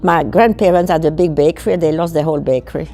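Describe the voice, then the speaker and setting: Gravelly older Belgian woman with a French accent. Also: low-pitched, warm tone. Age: 80s. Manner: halting, repeats words while searching for English. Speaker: guest. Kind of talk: recounting childhood memories.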